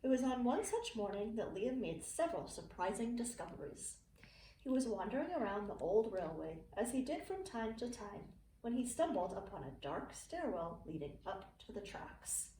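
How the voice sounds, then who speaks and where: A middle-aged woman, a teacher reading a children's picture book aloud with expressive, clearly phrased delivery for young listeners. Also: a little high, normal speed. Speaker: early learning teacher reading to students. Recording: roomy, distant mic with faint noise.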